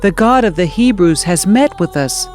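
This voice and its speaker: A woman's voice: on the low side, expressive, normal speed, a little mellow, soft background music, natural voice. A woman voicing a bold, earnest male Hebrew prophet speaking insistently in a dramatized scripture reading. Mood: solemn, insistent.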